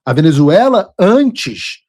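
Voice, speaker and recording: A Brazilian-accented man hosts his own commentary show, his voice a touch high and husky. He talks bluntly and emphatically, mocking his opponents and swearing casually as he lays out his political views. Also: big pitch swings, slow pace, darkish tone. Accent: Brazilian Portuguese. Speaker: show host.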